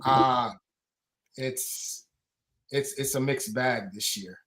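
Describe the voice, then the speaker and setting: Male co-host, average pitch, opinionated sports talk. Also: steady tone, takes it slowly, a bit bright. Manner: opinionated, builds to emphatic points. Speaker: podcast co-host. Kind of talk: casual sports chat.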